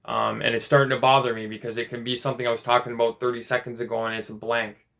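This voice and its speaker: A man in his late twenties talking in a casual personal vlog, rambling and halting, with many restarts and fillers. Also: mid-range voice, level tone, creaky, fairly bright tone. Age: 20s. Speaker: vlogger.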